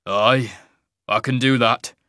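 A man's voice: medium pitch, steady tone, slow pace, sharp tone, dry recording, clean studio sound. A man voicing a weary, conflicted older warrior father, plain-spoken and growling, in a fantasy audiobook. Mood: reluctant. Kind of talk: dramatic fantasy audiobook character.

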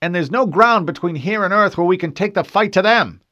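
Man acting a brash, hot-headed young marine, pitched up. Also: expressive, brisk, bright, forceful tone, dry recording, highs cut off. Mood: angry, defiant. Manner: hissing, profane, aggressive. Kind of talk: audiobook character voice.

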